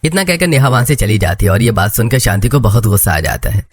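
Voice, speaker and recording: Low-pitched man narrating a story. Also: expressive, quick pace, husky. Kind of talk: scripted cartoon story narration.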